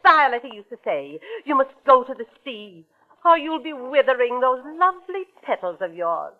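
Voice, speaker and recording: Fluttery high-voiced coquettish widow, old radio. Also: female voice, sing-song, moderate pace. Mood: fluttery, gushing. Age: middle-aged. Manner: gushing, affected, breathlessly talkative. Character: fluttery, coquettish, chattering older widow, former stage performer. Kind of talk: 1940s radio acting. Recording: muffled, band-limited vintage radio recording.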